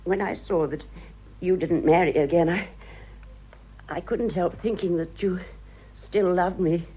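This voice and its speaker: An actress playing a vain, theatrical aging British society wife in a radio drama, grand, emphatic and melodramatic. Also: female voice, lowish voice, fairly even intonation, unhurried, breathy edge. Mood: wistful. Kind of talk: radio drama acting of a stage comedy. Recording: dull lo-fi radio drama recording.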